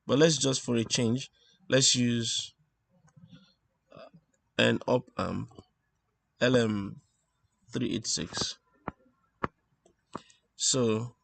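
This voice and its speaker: A man giving an informal technical lesson as he draws, explaining step by step in a halting way and thinking aloud. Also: medium pitch, creaky, no echo. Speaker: instructor presenting an electronics tutorial. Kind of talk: informal technical lesson, talking while drawing.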